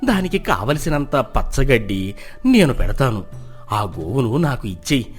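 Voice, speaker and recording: A man acting a low-pitched, eager middle-aged villager. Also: sing-song, unhurried, soft background music. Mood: pleading. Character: middle-aged greedy, gullible villager, a fifty-year-old man.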